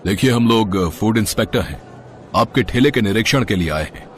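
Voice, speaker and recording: A man's voice: lowish voice, expressive, brisk, a little hiss or hum, natural voice. A man playing a stern, bullying food inspector in a moral story, officious and commanding. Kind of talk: storybook character acting.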